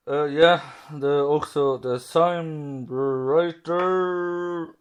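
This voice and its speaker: A man hosting a podcast, talking casually in a halting, hesitant way. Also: fairly high pitch, clean tone. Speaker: podcast host.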